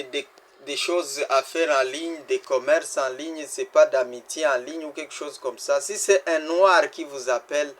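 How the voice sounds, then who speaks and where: Man, mid pitch, casual walkthrough, stop-start delivery, earnest warnings that fade to near-murmurs. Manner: halting, repeats words and restarts; earnest pleading, voice drops very soft at the end of pleas. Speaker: vlogger warning about online scams.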